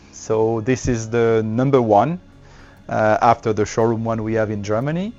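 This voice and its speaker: A man's voice: on the low side, a little mellow, lo-fi sound. French-accented man explaining gear. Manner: explanatory, with frequent pauses and fillers. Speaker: audio company representative. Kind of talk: trade show interview.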